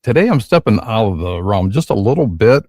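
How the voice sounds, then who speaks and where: A man's voice: fairly low pitch, sing-song, a little fast, a little mellow, no echo, studio quality. A man hosting a podcast in a casual, upbeat and chatty way, often using fillers. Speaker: podcast host. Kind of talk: casual interview chat.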